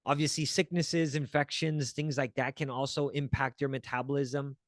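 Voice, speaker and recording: A man's voice: medium pitch, little pitch movement, moderate pace, no room sound, clean studio sound. A man teaching as a wellness coach, earnest and encouraging, often checking in with the listener and restarting phrases as he searches for words. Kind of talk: teaching talk to camera.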